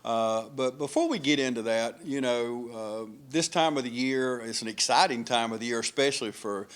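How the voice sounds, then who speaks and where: A man's voice: medium pitch, normal speed. Male local TV host, folksy and chatty, easygoing questions. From Dublin, US. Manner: folksy, rambling, affirming. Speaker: TV interviewer. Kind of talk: local TV interview.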